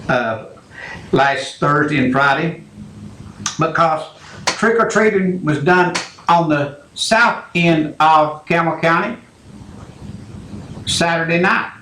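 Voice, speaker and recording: A man hosting a call-in radio show, talking in a slow, halting, rambling way. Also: fairly high pitch, bright, forceful tone, echoey room, a little hiss or hum, low-quality recording. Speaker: radio talk show host.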